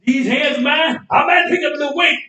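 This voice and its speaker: Echoey, distant stage recording of a man playing an older American barber with a very high, bright voice. He is a folksy, boastful old boxer who teases and repeats himself for emphasis in a stage play. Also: a little fast, faint music behind. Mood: cocky, goading. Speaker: stage actor. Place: indoor theatre stage, picked up from afar.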